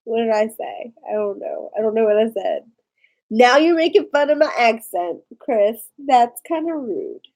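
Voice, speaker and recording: A woman's voice: a little high, animated, unhurried, darkish tone, rough recording. A woman from Maryland with a Maryland accent, chatting in a rambling way with viewers on a live stream. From Maryland, USA. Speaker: vlogger. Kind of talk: casual live-stream chat.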